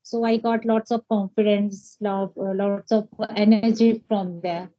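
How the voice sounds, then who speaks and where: A woman from India, speaking English, pauses often and restarts her sentences. Her tone is dark and mellow. The audio sounds muffled, like an online call. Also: medium pitch, little pitch movement. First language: Hindi. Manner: hesitant, many fillers and restarts. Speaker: English learner, homemaker. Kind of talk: casual conversation practice.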